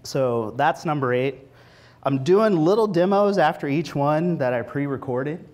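A man in his forties presenting informally at a tech meetup, conversational, with animated asides, speaking into a lapel mic. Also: a little high, unhurried. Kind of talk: informal technical presentation with demos. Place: meetup room, standing before a small audience.

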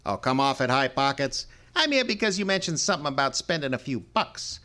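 A man's voice: mid-range voice, very animated, fairly quick, pushed and bright. An actor playing a brash, insolent young elevator boy who wisecracks his way through an old-time radio style drama. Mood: cheeky.

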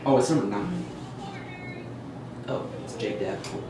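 A man's voice: fairly low pitch, measured pace. Teen guy doing pa at a ball game, joking around, far off and echoey, really noisy. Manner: joking, unsure about names. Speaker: student PA announcer. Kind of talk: casual chat. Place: press box at an outdoor baseball field. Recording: echoey, distant, noisy camera audio.

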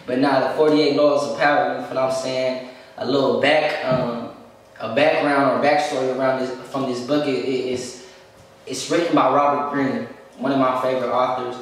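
A man's voice: medium pitch, flat delivery, moderate pace, recorded from a distance. Laid-back young man in an echoey room. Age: young adult. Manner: laid-back, repeats "you know what I'm saying". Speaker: interview guest. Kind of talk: casual interview talk. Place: room with a leather couch, indoors.

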